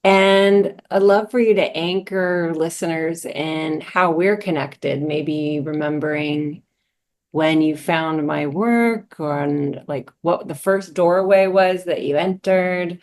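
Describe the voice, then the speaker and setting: A woman hosting a podcast speaks slowly and gently, lingering over her words. Her voice sits a little low, and she greets her guests with affectionate warmth over a remote call. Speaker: podcast host and astrology teacher. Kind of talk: conversational podcast interview.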